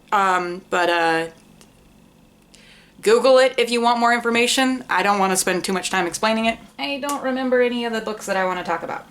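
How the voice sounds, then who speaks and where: A woman's voice: mid-range voice, a bit bright. A woman with a mid-range voice talks casually to camera like an online book reviewer. She is informal and rambles a little, dropping in self-deprecating asides and occasional swearing. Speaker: book review video creator.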